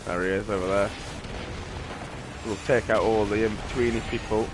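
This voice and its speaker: A man's voice: fairly low pitch, fairly even intonation, moderate pace, background noise. A British man giving casual, rambling, sweary gameplay commentary. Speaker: gaming streamer.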